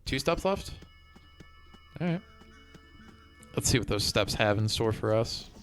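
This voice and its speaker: A man's voice: on the low side, animated, measured pace, fairly bright tone. A man streaming a game, chatting casually and thinking aloud to his viewers. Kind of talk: casual chat while playing a game. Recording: music behind the voice.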